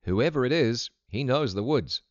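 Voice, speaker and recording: A man's voice: medium pitch, animated, moderate pace, no echo, highs cut off, pitched above the performer's own voice, natural voice. A man voicing a weary, terse country father and hunter in a storybook, in a matter-of-fact manner.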